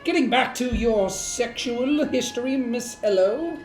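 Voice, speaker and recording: Woman playing a slow, deadpan hardboiled male detective. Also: fairly high pitch. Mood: deadpan, skeptical. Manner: deadpan, dry, measured. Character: wry, weary male hardboiled hotel detective. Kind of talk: noir parody dialogue and narration. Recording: roomy echo, faint music underneath.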